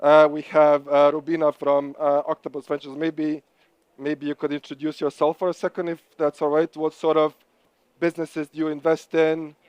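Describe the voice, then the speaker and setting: Croatian-accented man, fairly high voice, smooth and resonant, dry sound, upbeat event host. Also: completely flat delivery, moderate pace, a little mellow, dry recording. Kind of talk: live event hosting.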